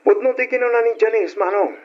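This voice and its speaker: An actor playing an eager young father and brother-in-law in an old radio drama, animated and coaxing. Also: high voice, brisk. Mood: cheerful. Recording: dull lo-fi old radio recording.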